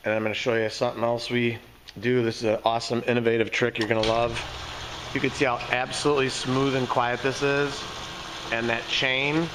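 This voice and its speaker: A hoarse man giving a casual, folksy walkthrough of his garage door repair work. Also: medium pitch, noisy background. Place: underground parking garage. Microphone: handheld phone camera.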